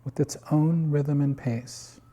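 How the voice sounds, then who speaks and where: A man guiding a meditation in calm, unhurried short phrases with long pauses, his voice slightly husky. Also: fairly high pitch. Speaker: meditation guide. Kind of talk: guided body scan meditation.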